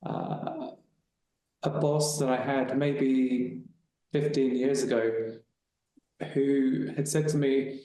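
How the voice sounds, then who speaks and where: A man's voice: medium pitch, steady tone, takes it slowly, dark tone. A man on a webinar panel telling a personal story in a casual, rambling way with frequent restarts. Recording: very echoey room.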